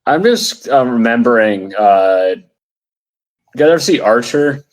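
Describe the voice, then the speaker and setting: A man's voice: medium pitch, animated, a little slow, low-quality recording. A man bantering casually as a podcast panelist, with a playful, irreverent manner.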